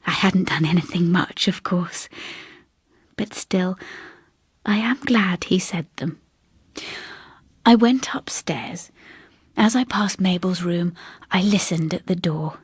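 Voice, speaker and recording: A woman voicing a sombre, haunted older spinster recounting a ghostly tragedy in a hushed, halting, grave manner. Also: on the low side, breathy edge, no echo, studio quality, pitched below the performer's own voice, full character voice. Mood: haunted, grieving. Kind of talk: dramatic ghost story reading.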